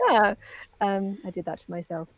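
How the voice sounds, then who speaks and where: A woman's voice: mid-range voice, unhurried, no echo. A woman co-hosting an interview in casual, chatty style, heard on a lo-fi microphone. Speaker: interview co-host. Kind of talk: casual chat. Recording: dull lo-fi mic, dry.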